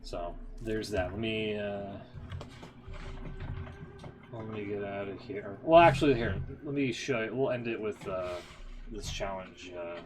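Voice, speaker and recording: A man's voice: fairly low pitch, measured pace, long pauses, background noise. A man giving casual livestream game commentary, thinking aloud in an informal way with muttered asides. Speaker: livestream host, gamer. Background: background music.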